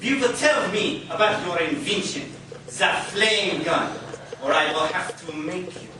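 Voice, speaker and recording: A man's voice: high voice, lively intonation, a little slow. A man playing a cruel, gloating Nazi saboteur in a 1940s radio serial. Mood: gloating menace. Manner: menacing, gloating. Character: burly cruel gloating Nazi saboteur leader, comic-book villain. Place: live performance in a coffee house. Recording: echoey live room from far off, faint noise.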